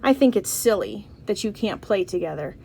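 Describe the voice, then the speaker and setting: A woman voicing a kindly, smiling mother from a children's storybook, gentle and friendly. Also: medium pitch, expressive, moderate pace, gravelly, slight background noise. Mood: reassuring.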